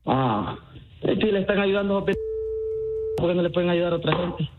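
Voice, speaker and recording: A man's voice: high-pitched, normal speed. A man from Venezuela taking a prank phone call, dry and unbothered, firing wisecracks back. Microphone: phone line.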